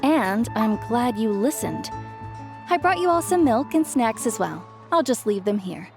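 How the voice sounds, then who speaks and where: A proud, exacting village auntie with a caring streak, in a video game. Her fairly high voice moves expressively as she instructs, with music behind. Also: very animated, unhurried, creaky, heavily acted. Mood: composed, caring. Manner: matter-of-fact, instructive, proud. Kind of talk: English video game voice acting.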